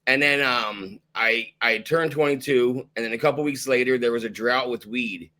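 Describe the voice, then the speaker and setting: A man in his thirties hosting a podcast, laid-back and quick to laugh, swearing casually. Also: mid-range voice, bright, forceful tone. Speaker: podcast host. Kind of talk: casual interview chat.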